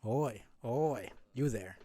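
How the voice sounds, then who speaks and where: A man's voice: mid-range voice, takes it slowly, mellow. A man voicing a small, greedy, cheeky thieving bug from a video game, with a wheedling rogue's patter. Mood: impatient, demanding.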